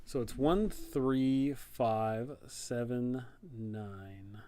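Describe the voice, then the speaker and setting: A man's voice: mid-range voice, extremely slow, warm tone, a little hiss or hum. A man hosting a livestream, thinking aloud in short, trailing phrases as he works and chats casually. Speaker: livestream host, tabletop game master and content creator.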